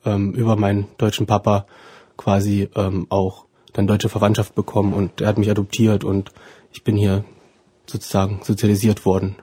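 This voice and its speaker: A man's voice: lowish voice, monotone, moderate pace, dark tone, breathy edge, dry recording, studio quality. A man in his twenties from Ukraine who grew up partly in Germany, answering interview questions hesitantly and often correcting himself. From Ukraine, then Germany from about age seven. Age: 20s. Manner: hesitant, searching for words, self-correcting. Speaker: interview guest, student. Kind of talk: informal personal interview answers.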